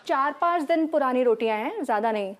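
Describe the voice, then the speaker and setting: A woman's voice: high voice, lively intonation, brisk, a crowd in the background, background noise. A woman playing a snooty, condescending housewife in a broad Hindi sitcom. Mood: dismissive. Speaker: sitcom actor.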